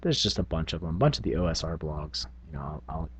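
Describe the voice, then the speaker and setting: A man's voice: extremely low pitch, expressive, fast, slightly rough. A middle-aged man talking informally and reflectively as a channel host, hesitating and thinking aloud as he goes. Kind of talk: casual reflective vlog on tabletop gaming.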